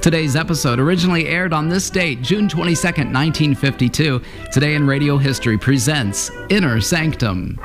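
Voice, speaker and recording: Male announcer, slightly high voice, scripted introduction read over clear music. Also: brisk. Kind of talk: scripted narration.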